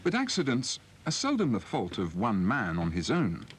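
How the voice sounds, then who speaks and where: British guy narrating an old training film, explains things carefully, pitch moves a lot, bit of hiss. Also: huge pitch swings, moderate pace. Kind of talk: scripted instructional film narration. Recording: slightly noisy 1980s film soundtrack.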